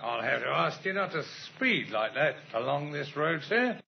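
An actor playing a polite but firm British police constable in an old-time radio drama, formal and gravelly. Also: male voice, on the high side, very animated, moderate pace. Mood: polite, hesitant. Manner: formal, hesitant at first, then authoritative. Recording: dull, faintly noisy old radio recording.

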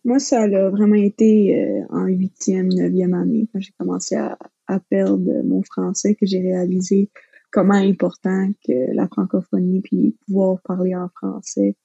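A woman's voice: mid-range voice, little pitch movement, measured pace, gravelly, mellow, slightly rough, no room sound. A young woman speaking frankly as a podcast guest, laughing easily. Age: young adult. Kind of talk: casual conversation. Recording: dull lo-fi sound.

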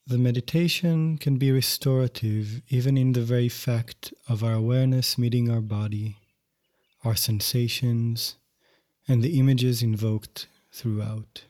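Male meditation teacher, mid-range pitch, very slow pace, gentle, measured guided instruction. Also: steady tone. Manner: gentle, unhurried, measured phrasing. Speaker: yoga nidra meditation teacher.

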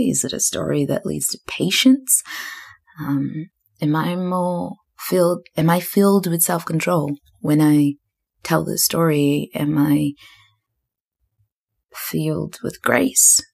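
A woman's voice: sits low, takes it slowly, creaky, a little mellow, breathy edge. A young woman from Zimbabwe and New Zealand hosting a solo podcast, rambling and thinking aloud with lots of fillers. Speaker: podcast host.

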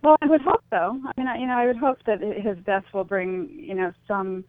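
A woman's voice: mid-range voice, fairly even intonation, fairly quick, no room sound. A woman is a guest on a radio interview, heard over a narrow, phone-like line with no room sound. She talks in a reflective, conversational way, a little tentative, often checking her point as she goes. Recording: thin, phone-like call-in line.

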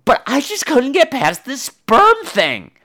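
A man's voice: extremely high pitch, huge pitch swings, takes it slowly, bright, forceful tone. A man hosting a podcast, telling casual comic stories in a gossipy, catty, profane way with dramatic emphasis. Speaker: podcast host.

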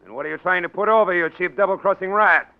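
An American actor playing a crooked, sarcastic political boss in a 1940s radio drama, tough and blustering. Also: male voice, sits high, fast, gravelly. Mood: indignant, blustering. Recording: dull vintage 1940s radio recording.

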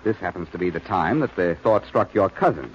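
A man's voice: deep voice, lively intonation, fairly quick, slight background noise. An actor playing a suave, wisecracking male detective hero in a 1940s radio drama, teasing in dry banter. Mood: wry. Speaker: lead actor. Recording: lo-fi old radio broadcast with music.

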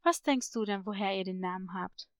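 Woman voicing a mysterious, low-pitched male parrot. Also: brisk, smooth, dry recording, clean studio sound, lightly acted. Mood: knowing, foreboding. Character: mysterious, knowing male parrot, a foreboding stranger.

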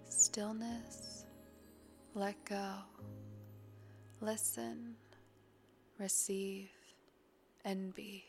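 A slightly congested woman podcast host speaking a guided meditation in a gentle, soothing, breathy voice that often drops toward a whisper. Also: mid-range voice, little pitch movement, drawn-out words, pauses often, soft background music. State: a little congested. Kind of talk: guided meditation, then casual podcast talk.

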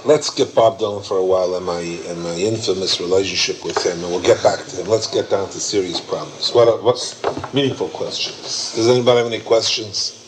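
A man's voice: sits low, a little fast, noisy background. A man leading an informal question-and-answer session, emphatic and repetitive as he explains. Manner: warm, emphatic, repeats himself. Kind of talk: informal Q&A lecture.

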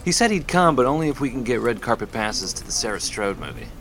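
A cheerful staffer who books sports stars, played by a man in an audio drama. His bright voice moves around a lot in pitch as he chats. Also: lively intonation, fast talker, a little hiss or hum. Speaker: staffer recruiting athletes.

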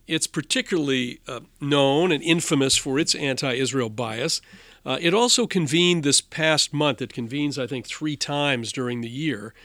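A man hosting a formal policy interview, speaking in measured, halting phrases. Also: mid-range voice, a bit bright, dry recording. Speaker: interview host.

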